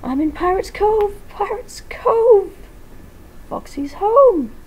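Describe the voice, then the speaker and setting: A woman voicing an excitable, nervous female animatronic pirate fox in a fan story, gushing and shouting. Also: very high-pitched, takes it slowly, pitched above the performer's own voice, full character voice. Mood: ecstatic.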